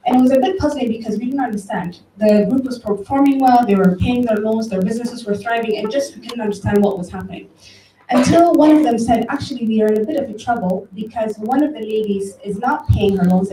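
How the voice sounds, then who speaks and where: Roomy distant recording, faint noise, woman speaker from Ethiopia, mellow voice, conversational public talk, storytelling. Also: on the high side, fast talker. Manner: conversational, anecdotal, addresses the audience directly. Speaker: conference speaker, tech company director. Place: event room, before an audience.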